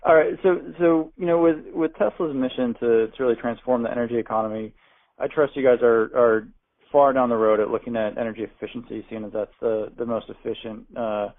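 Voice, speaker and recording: A man's voice: medium pitch, fairly even intonation, a little fast. A man asking an unscripted question as an analyst phoning in to an earnings call. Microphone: phone. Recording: muffled phone line.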